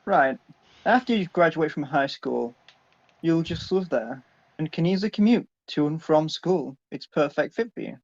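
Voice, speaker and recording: A man's voice: on the high side, animated, normal speed, lo-fi sound. A man playing a stern, middle-aged businessman father, curt and commanding, in a dramatic story reading. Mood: cold, businesslike.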